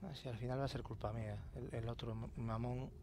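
A relaxed man talks over a game at a middle pitch, muttering to himself and swearing now and then. Noticeable game noise sits behind his voice. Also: a little fast. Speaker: gameplay commentator. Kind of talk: casual gameplay commentary.

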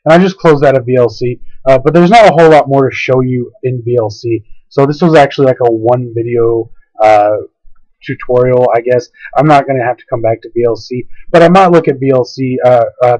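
A man narrating a casual, unscripted software tutorial, hesitant and rambling, his voice tiring as his throat gives out. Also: medium pitch, no echo. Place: indoors at a computer, screen-capture voice-over. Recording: dull lo-fi home screen recording.

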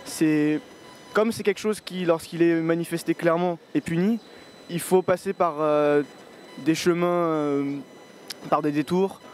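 A man's voice: a little high, fairly even intonation, measured pace, darkish tone, slight background noise. A young man in his twenties giving a thoughtful, hesitant street interview with many fillers, speaking into a handheld mic outdoors in a city square. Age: 20s. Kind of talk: street interview, reflective opinion.

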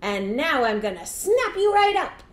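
A woman plays a big, hungry male alligator from a storybook. He is menacing yet gullible, drawing out his words with lively swings in an echoey room. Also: high-pitched, measured pace, pitched above the performer's own voice. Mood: menacing, gloating.

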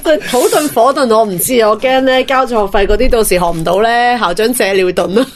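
Teasing Hong Kong woman, bright voice. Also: fairly high pitch, quick pace. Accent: Hong Kong Cantonese. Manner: teasing, laughs easily. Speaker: co-host. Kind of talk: satirical comedy banter.

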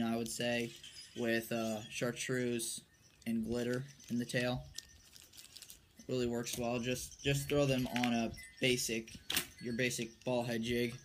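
A young man giving a casual, unscripted show-and-tell in a halting, low-key way, recorded on a porch at arm's length from the mic. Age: young adult, late teens or early twenties. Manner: halting, low-key, trailing off while handling items. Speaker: amateur angler presenting his tackle. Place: covered wooden porch outdoors. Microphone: phone or camera at arm's length. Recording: noticeable outdoor background noise.

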